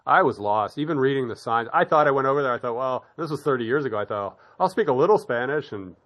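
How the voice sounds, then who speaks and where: A man's voice: medium pitch, lively intonation, fast, a bit bright. A middle-aged man hosting a casual conversation, rambling with repeated words and restarts, laughing easily. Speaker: podcast host. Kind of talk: casual chat.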